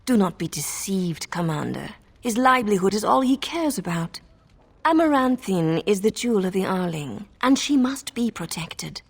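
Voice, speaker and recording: A woman playing a haughty, calculating noblewoman in a fantasy game, husky and creaky, with a cold, formal manner. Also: medium pitch, lively intonation, normal speed. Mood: cool, disdainful. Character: haughty, calculating noblewoman who rules a city. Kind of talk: scripted fantasy game dialogue.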